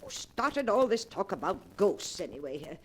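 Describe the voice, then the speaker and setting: An actress playing a brusque, skeptical elderly spinster aunt in a dismissive, scoffing 1940s radio drama style. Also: female voice, medium pitch, sing-song, moderate pace, lightly acted. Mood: scornful. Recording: noisy old 1940s radio recording.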